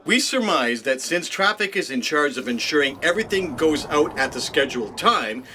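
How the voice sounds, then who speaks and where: Earnest, bright-voiced male investigator, acted. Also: fairly high pitch, lively intonation, moderate pace, slight background noise. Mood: earnest, probing. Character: earnest, fast-reasoning detective investigating a cosmic bureaucracy. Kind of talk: comic audio drama acting.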